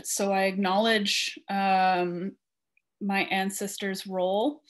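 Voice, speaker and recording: Woman, Canadian accent, medium pitch, guest speaker, reading prepared remarks on a video call. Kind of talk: reading a prepared personal statement at an online meeting.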